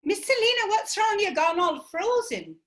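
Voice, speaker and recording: A woman's voice: very high voice, very animated, moderate pace, a bit bright, voice pushed up, heavily acted. A woman playing an eager young female helper, chirpy and blunt-spoken in a one-woman stage play. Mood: worried.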